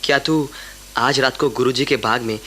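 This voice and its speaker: A man's voice: medium pitch, steady tone, normal speed, fairly bright tone, a little hiss or hum, natural voice. An actor playing a young village man who works at a monastery and is shyly in love, speaking hesitantly in a radio play. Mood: nervous, hesitant.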